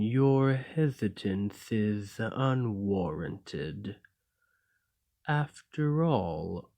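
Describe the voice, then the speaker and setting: A man voicing a gentle, lonely golem in an ASMR roleplay, speaking in a formal, measured and courteous way. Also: mid-range voice.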